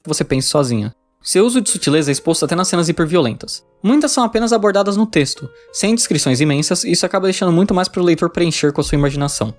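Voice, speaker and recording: A man's voice: high-pitched, animated, rapid-fire. A Brazilian man narrating a scripted review as a video essayist, informal and opinionated. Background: background music.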